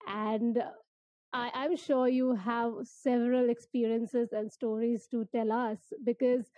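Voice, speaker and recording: A woman hosting an interview, asking questions in a measured, complimentary way. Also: a little high, little pitch movement, no echo. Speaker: host. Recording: muffled call audio.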